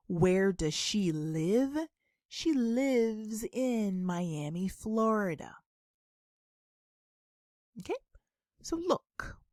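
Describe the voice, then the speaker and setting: Female language teacher, extremely unhurried delivery, dry close sound, careful enunciation of simple practice sentences for learners. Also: medium pitch, darkish tone, no echo. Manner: deliberate, instructional, enunciating and repeating phrases. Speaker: English teacher. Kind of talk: beginner English lesson with repeated model questions and answers.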